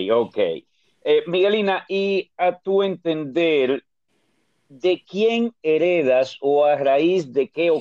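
A man hosting an interview, asking formal questions slowly and searching for his words. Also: medium pitch, darkish tone, no room sound, lo-fi sound. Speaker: host.

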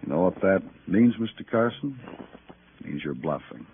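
Quiet relentless detective, low tinny radio voice. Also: male voice, sing-song. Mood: coolly pressing. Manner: measured, insinuating, coolly polite. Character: quiet, relentless male police detective interrogating suspects. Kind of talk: 1950s radio crime drama acting. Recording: tinny, noisy old radio recording.

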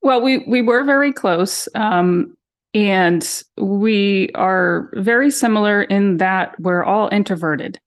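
A woman in her forties, raised in Massachusetts with her Boston accent mostly gone, recounting her family in a relaxed interview. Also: mid-range voice, slow pace. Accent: General American, Boston accent mostly gone. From Massachusetts, USA. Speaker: interview guest, sister of missing woman. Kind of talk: casual interview conversation.

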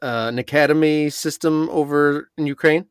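A man's voice: on the high side, steady tone, normal speed, clear, resonant tone, no room sound, studio quality. A man hosting a podcast, asking questions in a friendly way with some fillers. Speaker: podcast host. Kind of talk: podcast interview.

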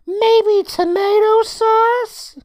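A reader voicing a jumpy, nervous young boy who blurts out squeaky, loud, rushed lines. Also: fairly even intonation, heavily acted. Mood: anxious.

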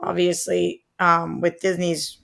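A woman's voice: lowish voice, completely flat delivery, takes it slowly, gravelly, fairly bright tone. A woman co-hosting a podcast, chatting casually about movie news in an inquisitive, slangy way. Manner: inquisitive, slangy, prompts her co-host with questions. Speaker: podcast co-host.

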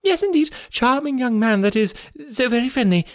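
A man's voice: very high-pitched, lively intonation, normal speed, pitched above the performer's own voice. A man voicing a fluttery, grateful, scatterbrained spinster in an audiobook of a classic novel, effusive and breathless, rambling in broken phrases.

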